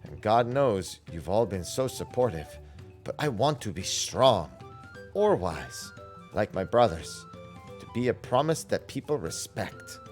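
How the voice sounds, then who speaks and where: Young warrior princess, acted by a man, earnest and spirited, animated pitch, fantasy game dialogue over faint music. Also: unhurried. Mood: self-doubting. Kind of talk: game character dialogue read aloud.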